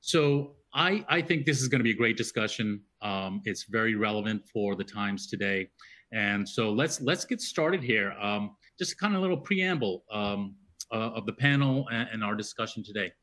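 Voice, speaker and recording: A man's voice: fairly low pitch, normal speed, pushed and bright. A man moderating a business webinar panel in a conversational way, with many fillers and restarts.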